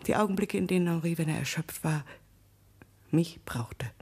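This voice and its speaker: An actress playing a middle-aged, solemn, blindly loyal wife in a radio drama, husky and often hushed. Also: female voice, fairly low pitch, lively intonation, moderate pace. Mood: solemn, devoted. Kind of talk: German radio drama acting.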